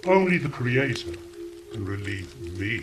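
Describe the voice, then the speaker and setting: An actor playing a solemn, literal-minded cherub guard with a stately, formal manner in a stage drama. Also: male voice, fairly low pitch, very animated, measured pace, loud music behind the voice. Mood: stern. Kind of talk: theatrical stage drama acting.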